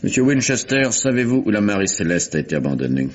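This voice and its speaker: An actor playing an imperious, insistent public prosecutor with formal, pointed questioning in a vintage French radio mystery. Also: male voice, lowish voice, sing-song, quick pace, slight background noise. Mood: pressing, assured. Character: imperious, insistent English male public prosecutor interrogating witnesses. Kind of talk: 1950s-style French radio mystery acting. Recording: dull, muffled vintage radio recording.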